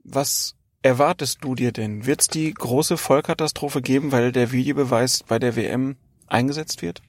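A man's voice: mid-range voice, normal speed. A man, co-hosting a podcast, who asks questions and coughs now and then. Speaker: podcast co-host asking questions. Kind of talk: casual podcast chat.

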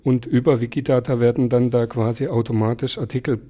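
Measured German man in his forties, mellow voice. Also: medium pitch, steady tone. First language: Alemannic. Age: 40s. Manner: measured, explanatory, frequent filler pauses. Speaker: podcast guest. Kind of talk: conversational interview.

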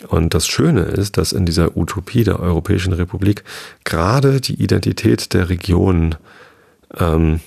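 A man from the Hamburg area, talking through his ideas in a reflective monologue, halting often with fillers and restarts. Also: sits low, vocal fry, darkish tone. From Northern Germany, Hamburg area. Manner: hesitant, many fillers and restarts.

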